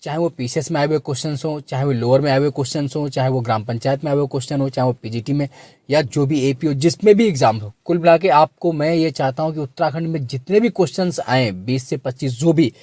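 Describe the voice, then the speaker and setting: Guy with a hoarse throat coaching exam questions, mellow tone, dry close sound, keeps repeating the question. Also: mid-range voice, dry recording. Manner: repeats questions and prompts listeners to answer. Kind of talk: quiz lesson with questions and answers for exam students.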